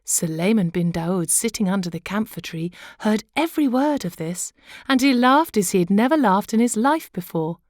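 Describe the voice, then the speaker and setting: A woman storyteller reading a children's tale aloud in an expressive narrating style. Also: medium pitch, moderate pace, no echo, clean studio sound. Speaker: storyteller, audiobook reader.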